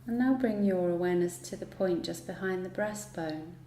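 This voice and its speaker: A woman leads a guided yoga relaxation in a mellow, dark-toned voice. She gives each instruction soothingly and without hurry, pausing at length between them. The recording sounds roomy, with faint noise behind her. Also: on the low side. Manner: soothing, unhurried instruction with long pauses. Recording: roomy echo, light background noise.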